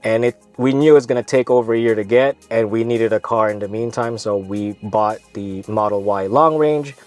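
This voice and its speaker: A man's voice: fairly low pitch, normal speed, darkish tone, faint music behind. Congested man chatting casually on camera. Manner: easygoing, rambling with self-corrections. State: congested, stuffy nose. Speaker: vlog host.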